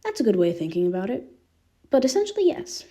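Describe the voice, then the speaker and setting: A woman's voice: mid-range voice, big pitch swings, fast, mellow, lightly acted. A woman voicing a candid, irreverent teenage boy with ghostly powers in a fan fiction reading. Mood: explaining, teasing.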